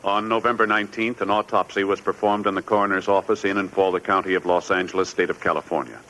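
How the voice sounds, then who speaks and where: A man announcing in a formal, measured, solemn scripted 1950s radio style. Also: deep voice, steady tone, background noise. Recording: muffled old radio, loud music.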